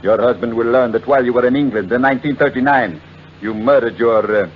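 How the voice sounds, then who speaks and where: An actor playing a coolly polite, menacing blackmailer in 1940s radio mystery style, with oily, formal, deliberate phrasing. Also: male voice, mid-range voice, lightly acted. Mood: threatening, mocking. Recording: muffled old radio recording with noisy hum.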